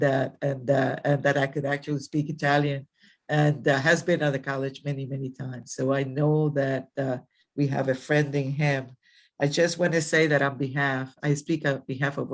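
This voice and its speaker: A woman's voice: very deep voice, gravelly. An older woman from the Dominican Republic, a college president giving a rambling ceremonial speech over a video call, with many fillers and restarts.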